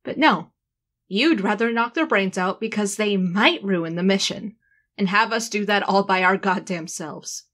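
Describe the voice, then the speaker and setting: A woman's voice: medium pitch, a little slow, a bit bright. A woman playing a hot-headed, loyal young female impostor in a comedic audio drama, emphatic and informal. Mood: furious, exasperated.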